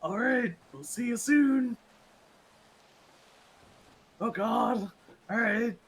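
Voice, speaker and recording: A man's voice: very high-pitched, very slow, a bit bright, husky, heavily acted. A man playing a nervous, easily spooked guy in an improvised online roleplay, sighing anxiously as he talks. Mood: resigned.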